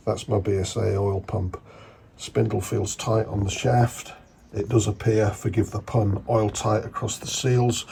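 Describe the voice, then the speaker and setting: A middle-aged man with a congested, cold-affected voice, talking through a hands-on job in a halting, explanatory way. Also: on the low side, vocal fry, dark tone, a little hiss or hum. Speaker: hobbyist mechanic narrating a video. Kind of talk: casual how-to narration. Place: home garage.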